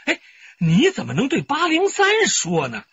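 An actor playing an anxious older village father who frets and shouts orders. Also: very high-pitched, huge pitch swings, moderate pace, vocal fry, no echo, heavily acted. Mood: fretful. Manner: agitated, fretful, shouting orders. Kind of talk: broadcast radio drama acting. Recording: dull lo-fi broadcast audio.

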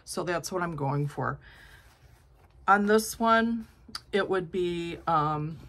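A woman's voice: on the low side, takes it slowly, a bit bright. A woman in her sixties chatting casually about her quilting projects, rambling with frequent ums and restarts, filmed on a phone in her home craft studio. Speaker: quilting vlogger. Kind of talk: casual show-and-tell chat. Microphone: phone camera at arm's length.

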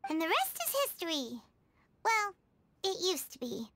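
A woman's voice: extremely high pitch, big pitch swings, moderate pace, pushed and bright, faint music behind, full character voice. A woman voicing a small, curious fairy girl companion in a video game, chirpy and inquisitive. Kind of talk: game voice acting.

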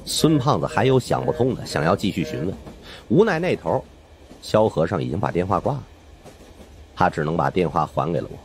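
A man's voice: deep voice, a little hiss or hum. A man narrating a suspense audiobook in the first person, reading from a script.

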